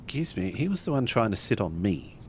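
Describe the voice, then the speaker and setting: A man's voice: on the low side, big pitch swings, fairly quick, a little hiss or hum. A man narrating and acting out his character in a tabletop role-playing game.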